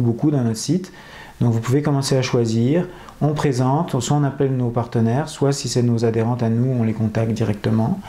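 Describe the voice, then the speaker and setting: Mellow-voiced man in an echoey room. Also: medium pitch. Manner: hesitant, many fillers. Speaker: dating agency presenter. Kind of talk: explanatory talk to viewers.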